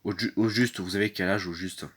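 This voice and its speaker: A man's voice: deep voice, fairly even intonation, fairly quick, slight background noise. A man hosting a mock newscast, stumbling through constant restarts and fillers. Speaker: mock news anchor. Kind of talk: improvised parody newscast.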